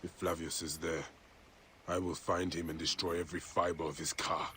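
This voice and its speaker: An actor playing a grim, grieving Egyptian warrior in a video game, intense and solemn. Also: male voice, low-pitched, little pitch movement, normal speed, pushed and bright, slight background noise. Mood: grim, vengeful. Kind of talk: dramatic video game acting.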